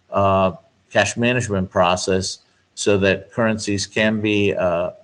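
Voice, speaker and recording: A man giving measured, explanatory answers as a guest expert in a business interview, laying out points step by step with emphasis. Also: fairly low pitch, fairly bright tone. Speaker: guest speaker, finance expert.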